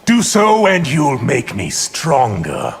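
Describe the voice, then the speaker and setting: A man's voice: mid-range voice, wildly swooping pitch, slow, husky, exaggerated voice. A man playing a scheming, power-hungry sorcerer villain in a fighting game, speaking with condescending menace. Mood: sly, menacing. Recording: long reverb, loud background noise.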